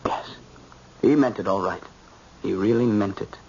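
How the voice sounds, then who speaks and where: A man's voice: on the low side, moderate pace, a little mellow, lightly acted. An actor playing a shaken, brooding young American man, a grown son in an old-time radio drama, intense and insistent. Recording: muffled, faintly noisy old radio recording.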